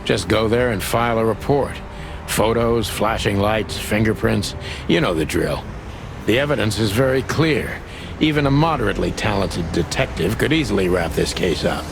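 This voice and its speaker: An actor playing a weary, wisecracking rooster detective in a noir game, with a low gravelly voice and a dry, sardonic, laconic delivery. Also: male voice, expressive, normal speed, background noise. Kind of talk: film-noir game voice acting.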